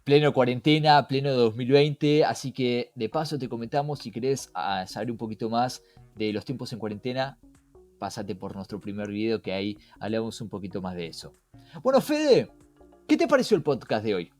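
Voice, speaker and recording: A man's voice: mid-range voice, lively intonation, moderate pace, a little mellow. A man with an Argentine accent hosting a podcast in a conversational style, engaging the listener with rhetorical questions. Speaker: podcast host. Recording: music behind the voice.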